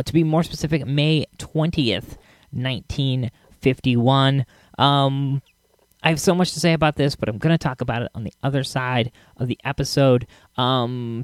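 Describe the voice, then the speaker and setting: A man hosting a podcast, introducing the episode in a casual, chatty way with drawn-out emphasis. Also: mid-range voice, no echo. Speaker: podcast host.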